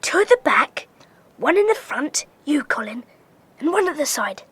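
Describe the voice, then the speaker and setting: A woman playing a brisk, earnest schoolboy who leads a band of child detectives in a children's audio drama. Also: high-pitched, big pitch swings, a bit bright, no room sound. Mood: hushed, commanding. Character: Peter, bossy schoolboy leader of child detectives.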